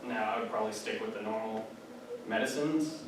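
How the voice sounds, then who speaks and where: A man's voice: lowish voice, a little fast. A young man giving a casual, chatty classroom presentation, full of fillers and asides. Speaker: student giving a class speech. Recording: echoey room, distant mic, background noise.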